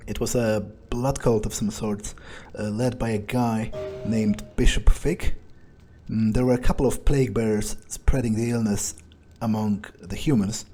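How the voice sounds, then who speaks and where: A man role-playing a cocky, newly turned young vampire in a video game, musing casually and sardonically with hesitant pauses. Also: on the low side, steady tone, warm tone, faint music behind, close to an everyday voice. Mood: casual, businesslike. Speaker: gamer role-playing his character. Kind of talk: in-character video game playthrough, improvised musing.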